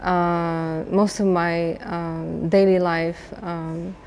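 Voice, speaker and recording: Palestinian-born woman artist, low even delivery, hesitant interview talk, slight room noise. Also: drawn-out words, smooth. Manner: hesitant, many fillers and restarts. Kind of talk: documentary interview.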